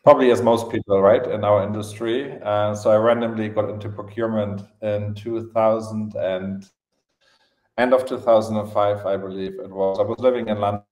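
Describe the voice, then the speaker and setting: A man with a German accent telling his story casually as a podcast guest, in halting phrases with repeated words. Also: on the low side, steady tone, darkish tone. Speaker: podcast guest, events entrepreneur. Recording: roomy, echoing podcast audio.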